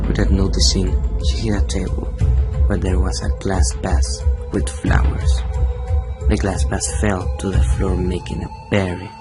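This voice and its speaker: A young man, a native Spanish speaker with a Chilean accent, narrating a scripted horror radio play in a dramatic, suspenseful way. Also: deep voice. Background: prominent music.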